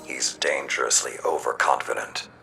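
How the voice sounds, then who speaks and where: Very deep, gravelly old warlord. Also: male voice, steady tone, heavily acted. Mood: stern, distrustful. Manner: measured, weighty, stern delivery. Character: grim old male warlord veteran, stern and unyielding. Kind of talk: dramatic video game cutscene acting. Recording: loud music and effects underneath.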